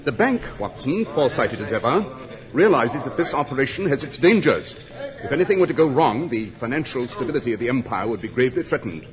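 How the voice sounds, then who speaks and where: A man's voice: mid-range voice, very animated, natural voice. A man playing a commanding master detective with formal, precise delivery in a 1940s radio drama. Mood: composed, explaining. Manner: formal, deliberate, authoritative. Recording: old radio recording, crowd noise behind.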